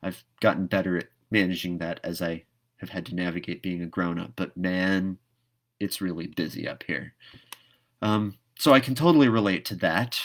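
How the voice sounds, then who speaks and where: Low-voiced man hosting a read-aloud. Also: moderate pace. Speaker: read-aloud host and narrator. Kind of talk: storybook narration and casual reflective commentary.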